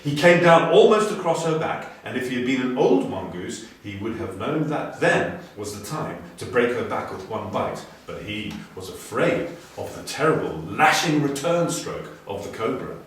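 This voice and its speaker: A man reading a story dramatically, moving between hushed and emphatic delivery. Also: medium pitch, big pitch swings, normal speed, few pauses. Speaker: story reader. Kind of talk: expressive storybook narration. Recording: very echoey room, distant, faint noise.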